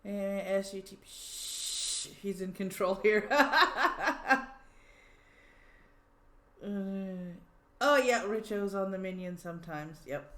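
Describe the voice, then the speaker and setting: A woman's voice: mid-range voice, slow pace, pauses often, fairly bright tone. A woman hosting a livestream, chatting casually, giggling and thinking aloud as she reads background notes. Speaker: livestream host. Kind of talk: casual chat. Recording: roomy echo.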